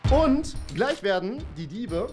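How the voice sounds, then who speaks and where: A man in his twenties hosting an excited vlog intro to camera indoors, filmed at arm's length. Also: high voice, slow pace, music in the background. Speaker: host. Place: indoor room with curtains. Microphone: camera held at arm's length.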